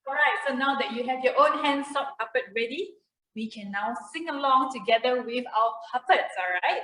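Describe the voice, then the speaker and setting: A woman's voice: sits high, normal speed, bright, forceful tone. A woman hosting a children's puppet show, encouraging and explaining activities step by step. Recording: roomy, echoey sound.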